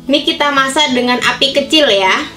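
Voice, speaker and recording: High-voiced chatty young woman. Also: a little fast, reverberant, over loud music. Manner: chatty, upbeat, addresses viewers as friends. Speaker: cooking vlogger. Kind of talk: cheerful cooking tutorial.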